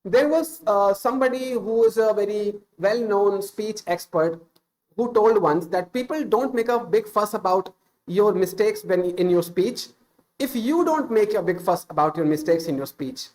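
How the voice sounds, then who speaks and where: A man's voice: high-pitched, animated, moderate pace, a little mellow. A man in his thirties with an Indian accent, whose first language is Hindi, giving casual, unscripted English-speaking advice on a stream, earnest and full of small "uh"s and self-corrections.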